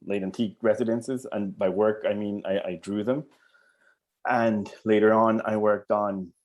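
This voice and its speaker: A man's voice: fairly low pitch, darkish tone. A middle-aged man from Colombia, a professor answering interview questions in a hesitant, thoughtful way with many restarts. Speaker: archaeology professor, guest.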